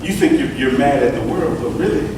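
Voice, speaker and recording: A man's voice: brisk, distant mic, background noise. Preaching man in an echoey church hall. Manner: swings between low intimate talk and shouted preaching; folksy, teasing the congregation. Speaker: pastor. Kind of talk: sermon. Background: congregation responding.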